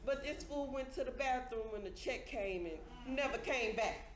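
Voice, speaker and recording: A woman's voice: fairly high pitch, moderate pace, lightly acted. A woman playing a brash, flirty hotel worker in a stage comedy, with blunt, punchy delivery. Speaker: stage actor. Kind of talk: broad comedic stage acting. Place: indoor theater stage. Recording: echoey theater stage with audience.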